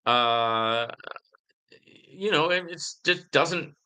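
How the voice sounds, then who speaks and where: A man hosting a live Q&A in a casual, conversational and emphatic way, reading comments and often checking in with a quick "right?". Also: on the high side, lively intonation, sharp tone, clear, resonant tone. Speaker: livestream host answering viewer questions.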